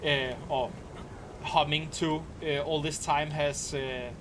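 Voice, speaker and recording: A man's voice: a little high, slow pace, a little hiss or hum. Danish-accented man streaming a game. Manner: relaxed, rambling explanations with frequent ums and restarts. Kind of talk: casual live-stream commentary while playing.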